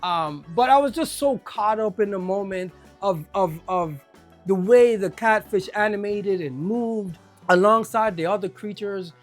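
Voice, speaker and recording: A person with a Jamaican accent giving a casual video game review, enthusiastic and often repeating words when excited. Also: mid-range voice.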